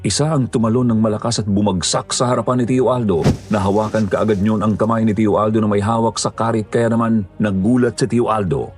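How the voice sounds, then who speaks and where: A man narrating a horror story in a steady, measured storyteller manner. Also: lowish voice, faint music behind.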